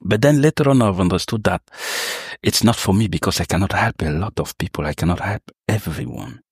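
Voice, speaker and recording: A man's voice: low voice, lively intonation, a little fast, gravelly, slightly rough, no room sound, clean studio sound. A young man from Cameroon telling his life story in an interview, with many fillers and restarts. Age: young adult. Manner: hesitant, many fillers and restarts, non-native phrasing. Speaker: interview guest, sales professional.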